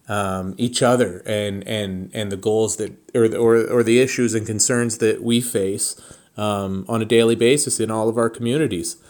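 A man hosting a solo podcast, speaking straight to listeners in a deliberate, halting way with pauses mid-thought. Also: medium pitch. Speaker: podcast host.

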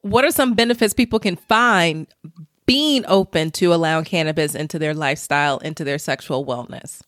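A woman's voice: low-pitched, brisk, a bit bright, no room sound, clean studio sound. A woman hosting a casual chat, playful and giggly, swearing freely. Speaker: host.

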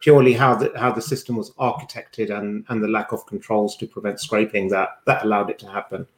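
A man's voice: fairly low pitch, level tone, a little fast. A man co-hosting a podcast, chatting in an informal, teasing way. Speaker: podcast co-host. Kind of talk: casual chat. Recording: roomy, echoing sound.

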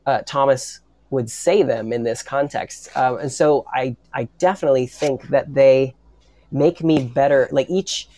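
A man's voice: medium pitch, a little slow, mellow. A man talking reflectively about his creative work in a panel discussion, earnest and hesitant, often repeating words as he goes. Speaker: writer and voice actor on an audio drama.

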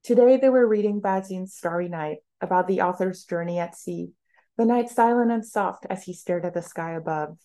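A woman's voice: fairly low pitch, normal speed, dark tone. A woman author reading literary prose aloud from her own novel in a narrative style.

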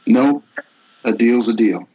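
A man playing a gruff, middle-aged father, awkward with feelings, in an amateur script table read. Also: mid-range voice, wildly swooping pitch, slow pace, a little mellow, heavily acted. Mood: awkward, tender. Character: Alice's gruff, awkward father, a recovering drinker. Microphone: Skype call. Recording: dull lo-fi internet call.

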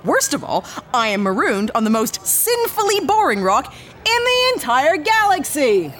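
A wry, animated ex-cop starship captain. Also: female voice, sits high, measured pace, full character voice. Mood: weary, sardonic. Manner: sardonic, blunt, hesitant when awkward. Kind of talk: sci-fi audio drama acting.

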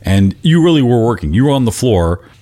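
A man's voice: mid-range voice, sing-song, fairly quick, mellow. A man in his fifties co-hosting a podcast, chatting casually and teasing his co-host with dry jokes. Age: 50s. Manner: joking, teasing.

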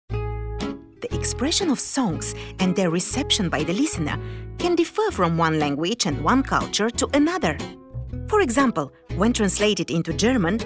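A woman whose first language is Kazakh, giving a scripted podcast narration as a language researcher in a measured, explanatory read with rhetorical questions. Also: medium pitch.

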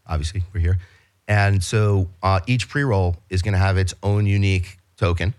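A man's voice: sits low, steady tone, moderate pace, darkish tone, smooth. A man with a New York accent, a business guest talking in a casual interview, eagerly explaining his company with many restarts and fillers.

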